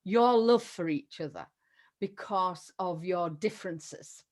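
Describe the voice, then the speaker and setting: A woman's voice: on the low side, takes it slowly. An older woman with a Yorkshire accent, hosting a casual interview chat over a video call, chatty and effusive. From Yorkshire, England. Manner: chatty, warm-hearted and effusive, with frequent laughs. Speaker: interview host.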